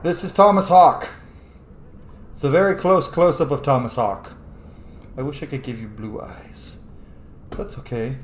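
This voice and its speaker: A man's voice: medium pitch, measured pace, long pauses. A man hosting a video game let's-play with chatty, theatrical, sweary casual commentary. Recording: roomy echo.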